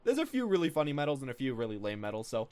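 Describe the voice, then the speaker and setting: Fast, lively young man commentating games. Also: mid-range voice, smooth. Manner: rambling, informal. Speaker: gaming video creator. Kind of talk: casual game commentary.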